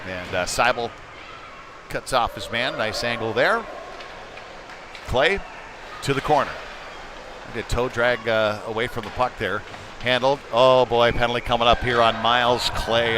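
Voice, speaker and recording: An American man giving casual, conversational live hockey play-by-play as a commentator, getting excitable when play heats up. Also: medium pitch. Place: indoor ice rink. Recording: noisy indoor ice rink.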